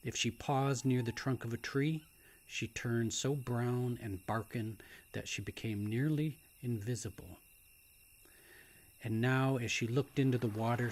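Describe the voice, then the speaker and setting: A man reading a novel aloud as a dramatic narrator, in a hushed, deliberate and suspenseful way that at times nears a whisper. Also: medium pitch, a little hiss or hum. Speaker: author reading own novel. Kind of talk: dramatic audiobook-style story narration.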